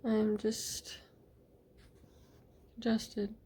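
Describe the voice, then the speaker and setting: A woman's voice: a little high, completely flat delivery, extremely slow, warm tone, a little hiss or hum. A woman talking in a casual video diary, hesitant and rambling with many fillers, sometimes dropping to near-whispers. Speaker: vlogger.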